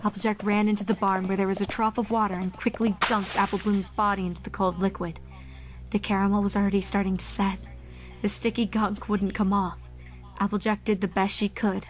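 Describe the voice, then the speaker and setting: A woman's voice: medium pitch, flat delivery, a little fast, soft background music. A woman reading horror fan fiction as a dramatic scripted narration.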